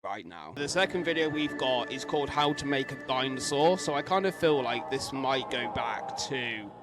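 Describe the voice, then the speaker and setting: A man's voice: medium pitch, expressive, moderate pace, music in the background. A man giving casual, rambling reaction commentary as a host, speculating aloud and mildly sweary.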